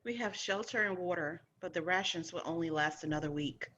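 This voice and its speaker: A stubborn, feisty young woman scout played by an actress in a sci-fi drama read. Her voice is on the lower side and sassy, captured a bit muffled. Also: fairly quick, fairly bright tone. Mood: practical, bold. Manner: defiant, sassy.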